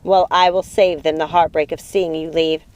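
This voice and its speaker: A cruel witch mother from a dark storybook, as a woman narrator acts her out. She is imperious and possessive, speaking with theatrical scorn and an air of total command. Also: low voice, little pitch movement, moderate pace, clean tone, dull audio, natural voice. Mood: contemptuous. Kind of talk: audiobook character acting.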